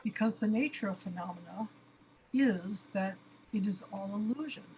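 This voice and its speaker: A woman playing a calm, philosophical imaginary companion in a radio play, with a husky voice. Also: medium pitch, moderate pace, a little hiss or hum, natural voice, low-quality recording. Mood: calm, reassuring. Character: Crystal, imaginary female companion, calmly philosophical. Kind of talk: amateur radio play acting.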